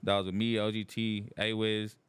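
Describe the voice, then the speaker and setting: A man's voice: lowish voice, little pitch movement, normal speed, fairly bright tone, smooth, dry recording. A young man chatting casually as a podcast guest, loose and filler-heavy, repeating "yeah yeah yeah". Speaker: guest rapper. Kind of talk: casual chat.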